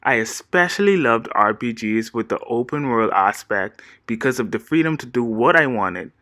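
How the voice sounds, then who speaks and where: A man, giving a steady, earnest scripted presentation as a game designer pitching himself. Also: dry recording, clean studio sound. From Bahamas. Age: 20s. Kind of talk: read-aloud scripted presentation.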